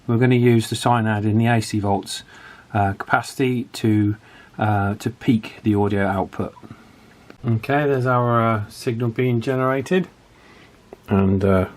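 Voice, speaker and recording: A man giving a casual hands-on repair commentary, thinking aloud with pauses mid-phrase. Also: fairly low pitch, fairly even intonation, darkish tone. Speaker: electronics repair vlogger.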